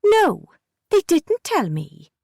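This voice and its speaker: This is a sullen young girl, peevish and argumentative, put on by a woman narrator. The voice sits high and swoops up and down. It is recorded dry and close, with no room sound behind it. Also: wildly swooping pitch, takes it slowly, gravelly, darkish tone, dry recording, heavily acted.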